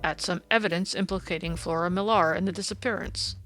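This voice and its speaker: A woman voicing a gruff, irritable policeman in an audiobook, snarling and emphatic with indignation. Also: fairly low pitch, steady tone, a little fast, fairly bright tone, soft background music. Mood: bitter. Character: gruff, irritable, blustering male police detective.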